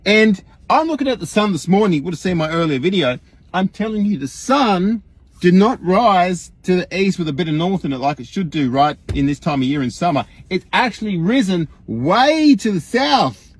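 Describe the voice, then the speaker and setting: A man puts on an earnest Australian guru addressing his online followers. The voice is husky and swings widely in pitch. The delivery is folksy and emphatic, rambling along as a livestream rant full of rhetorical questions. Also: animated, normal speed, no echo. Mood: earnest, alarmed. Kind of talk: mock livestream monologue.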